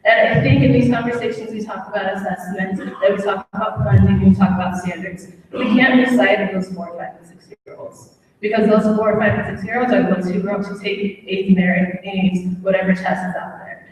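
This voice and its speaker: A woman teacher addresses a public meeting with a croaky voice, speaking with heartfelt conviction and repeating phrases for emphasis. Her pitch sits in a middle range. She sounds far from the mic, and heavy echo with a long tail fills the large hall around her. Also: fairly even intonation, brisk, a little mellow. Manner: heartfelt, speechlike, builds with repetition. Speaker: early childhood teacher. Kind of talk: public comment at a town hall.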